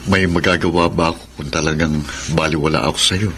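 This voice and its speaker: A man's voice: extremely low pitch, fairly even intonation, brisk, fairly bright tone, a little hiss or hum. A man acting an earnest, self-pitying young suitor in a Filipino radio drama, plaintive and dramatic, with a Filipino accent. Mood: coaxing, playful. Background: music.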